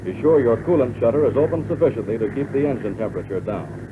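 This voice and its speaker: An American man reading scripted instructional narration for a 1940s military training film in a measured, instructive way. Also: mid-range voice, animated. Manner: measured, instructive, addressing the listener as pilot. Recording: tinny band-limited 1940s film soundtrack, loud noise.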